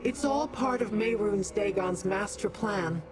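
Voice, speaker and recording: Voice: mid-range voice, a little slow, close to an everyday voice. An actor playing a haughty, imperious otherworldly immortal in a fantasy video game, formal and condescending toward mortals. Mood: lofty, explaining.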